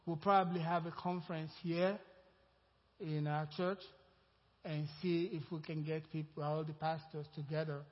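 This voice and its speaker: Nigerian-accented pastor in an echoing hall. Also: male voice, on the high side, level tone, moderate pace. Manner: rambling, conversational, asks the congregation questions. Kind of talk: informal sermon announcement. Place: church sanctuary. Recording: muffled church hall sound with long reverb.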